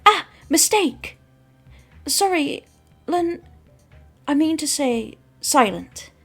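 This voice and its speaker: A woman doing Atom, the loud stilted oddball recruit. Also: high-pitched, lively intonation, extremely slow, soft background music, pitched above the performer's own voice, heavily acted. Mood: flustered. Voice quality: stilted broken phrasing, abrupt loud bursts. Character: eccentric stiff-speaking new crew member, odd unplaceable accent.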